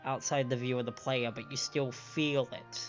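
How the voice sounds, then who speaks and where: A man's voice: mid-range voice, level tone, moderate pace. A man giving casual live commentary as he streams a game. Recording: dull band-limited stream audio, music behind.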